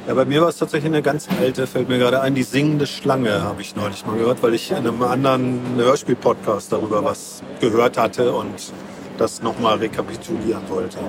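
A man's voice: mid-range voice, fairly even intonation, a little fast, over loud music. A man talking casually as a guest in an interview. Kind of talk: casual chat. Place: outdoors at a book fair.

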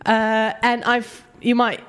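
A woman's voice: fairly high pitch, completely flat delivery, slow pace, fairly bright tone, clear, resonant tone. A woman from Hungary with a cold, introducing herself hesitantly with many fillers. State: has a cold. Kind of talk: casual introduction.

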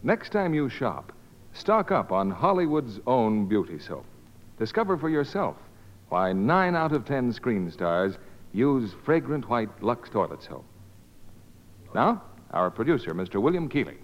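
A man's voice: mid-range voice, big pitch swings, unhurried. An American man announcing scripted 1950s radio advertising. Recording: muffled old radio recording, hall reverb.

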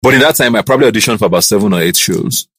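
A man in his thirties with a Nigerian accent, a TV host telling his career story in a relaxed interview, lively when he acts out what others said. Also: very fast, breathy edge, no room sound, studio quality. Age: 30s. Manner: animated, jumps up in pitch to act out quoted voices.

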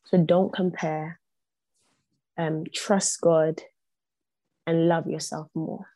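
Low, gravelly woman speaking earnestly. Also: flat delivery, takes it slowly, mellow, dry recording. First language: not English. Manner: earnest, repeats phrases for emphasis. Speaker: podcast guest. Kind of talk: reflective podcast conversation.

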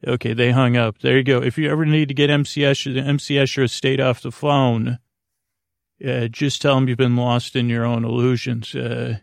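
A man with a creaky, gravelly voice in a close, dry recording. He hosts a bedtime podcast, wandering through improvised thoughts in halting, meandering sentences that trail off and restart. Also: mid-range voice, level tone, no room sound. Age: 40s. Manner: halting, meandering, stumbling over his own sentences. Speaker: podcast host. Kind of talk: rambling improvised bedtime monologue.

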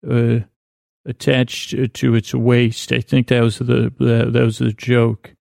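A man with a heavily creaky, gravelly voice talks in a mid-pitched register, recorded close and dry. He rambles as a sleep podcast host, stopping, restarting and wandering off in a gently comic monologue. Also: completely flat delivery, no room sound. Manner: halting, meandering, self-interrupting.

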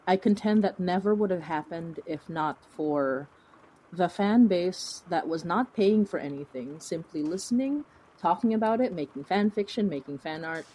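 Effusive low-voiced woman with a husky edge. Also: expressive, normal speed, warm tone, rough recording. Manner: effusive, emphatic, gushing. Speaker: podcast creator, interview guest. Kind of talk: casual conversational interview chat.

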